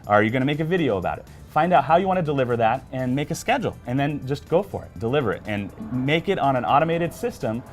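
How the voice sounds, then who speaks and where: A man's voice: medium pitch, very animated, fast talker, hardly any pauses, soft background music. A man giving small business advice on a weekly video chat, friendly and upbeat, telling anecdotes with a few fillers. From Arizona, USA. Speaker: regular business guest. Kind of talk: casual explanatory chat.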